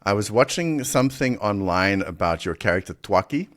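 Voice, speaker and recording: A South African man with a partly American accent hosting a podcast interview, animated and quick to laugh, often restarting sentences. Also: fairly low pitch. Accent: South African with American influence. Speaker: podcast host. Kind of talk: casual interview chat.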